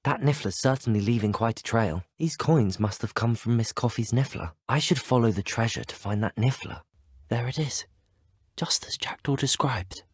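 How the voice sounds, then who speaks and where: An actor voicing a polite, curious teenage student wizard in a video game, with a British accent and a courteous, earnest, well-spoken manner. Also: male voice, medium pitch, normal speed, husky, no room sound, clean studio sound, close to an everyday voice. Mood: hushed. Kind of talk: video game character voice lines.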